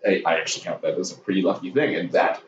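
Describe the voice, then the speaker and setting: A man in his twenties from Hong Kong speaks as a podcast guest, his low voice halting and full of restarts, with the odd dry joke. He sits well back from the mic in a roomy space, and the sound is dull and muffled. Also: distant mic, noisy background. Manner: halting, many restarts, joking. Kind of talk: casual interview chat. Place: home room with a dog.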